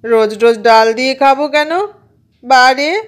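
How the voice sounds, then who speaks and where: A woman's voice: high-pitched, a little slow, pitched above the performer's own voice. A woman voicing a stubborn little boy who whines and protests, in a storybook reading. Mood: whining protest. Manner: whiny, protesting.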